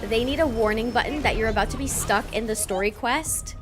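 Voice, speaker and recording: A woman's voice: a little high, a little fast, music in the background. A woman giving casual, reactive game stream commentary in a blunt, sweary, incredulous way.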